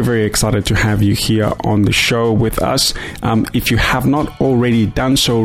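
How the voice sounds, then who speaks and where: Enthusiastic male podcast host. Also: medium pitch. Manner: enthusiastic, effusive. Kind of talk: upbeat podcast hosting and sponsor read.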